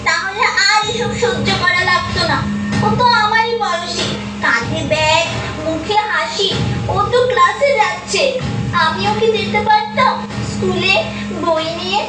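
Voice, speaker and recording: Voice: very high-pitched, measured pace. A performer playing a wistful, earnest poor boy who works and longs for school, in a puppet show. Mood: wistful longing. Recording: echoey, distant, loud music behind.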